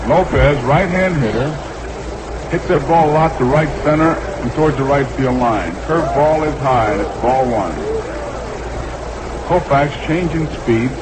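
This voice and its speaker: American man, live baseball commentary, 1960s radio, higher-pitched voice, clipped delivery, very noisy outdoor recording. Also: measured pace. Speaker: baseball play-by-play announcer. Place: outdoor ballpark.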